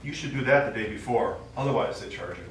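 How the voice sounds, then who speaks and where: A man's voice: on the low side, lively intonation, fast, fairly bright tone. Man playing an old widower father, echoey stage. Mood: dry. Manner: wry, rambling, reminiscing. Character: elderly Italian-American widower father, war veteran, stubborn reminiscing storyteller. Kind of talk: stage play acting. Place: small theatre stage, recorded from the audience. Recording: echoey small theatre, distant mic, faint noise.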